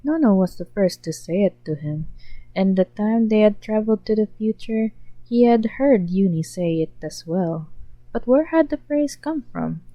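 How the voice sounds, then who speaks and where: A woman reading a fan fiction story aloud as a podfic in calm, even narration. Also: medium pitch, normal speed, no echo, highs cut off. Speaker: podfic reader. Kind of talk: scripted narration.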